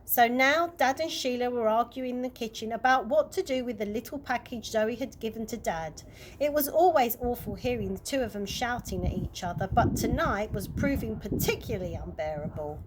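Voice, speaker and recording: A woman narrates a children's bedtime story in a fairly high voice. She reads aloud from a chapter book as an early years worker would. The recording has clear noise behind her. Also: animated, normal speed.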